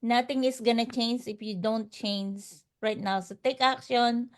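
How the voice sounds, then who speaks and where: Higher-voiced woman, earnest and emphatic. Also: steady tone, a little slow. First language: not English. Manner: earnest, emphatic, repeats phrases. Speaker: interview guest, online business promoter. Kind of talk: unscripted motivational testimonial.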